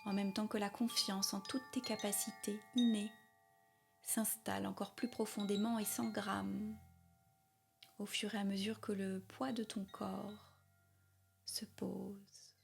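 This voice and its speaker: A woman guiding a yoga nidra meditation in a soft, breathy, calm and soothing voice, with long pauses and repeated phrasing. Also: medium pitch, faint music behind. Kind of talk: guided meditation, spoken slowly.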